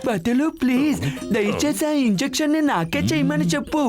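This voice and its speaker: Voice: high voice, animated, normal speed, a little mellow. An actor voicing a sly cartoon villain who pleads to get what he wants. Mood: pleading. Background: music.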